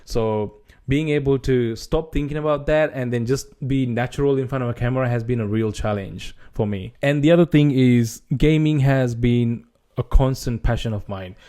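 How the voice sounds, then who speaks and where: Man with a Sri Lankan accent, Sinhala first language, mellow dark tone, mid pitch, casual hesitant vlog talk. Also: normal speed. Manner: hesitant, many restarts and fillers. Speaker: gaming channel creator.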